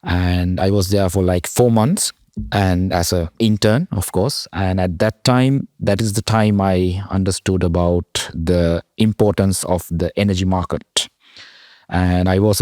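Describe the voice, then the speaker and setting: A young man from Sri Lanka, a PhD student, talking as a podcast guest in a halting way with many fillers. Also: sits low, darkish tone, no echo, clean studio sound. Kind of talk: podcast interview.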